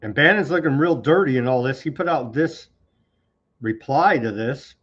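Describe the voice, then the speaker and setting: A man's voice: mid-range voice, animated, normal speed, a bit bright, breathy edge, dull audio. A man hosting a live online news commentary show, sarcastic and scornful as he rants through the headlines. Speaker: political commentary host.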